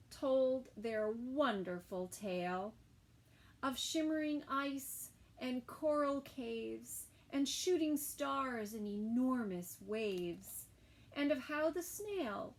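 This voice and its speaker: A woman reading a picture book aloud for toddlers at story time, dramatic and with hushed little asides. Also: high voice. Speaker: children's story time reader.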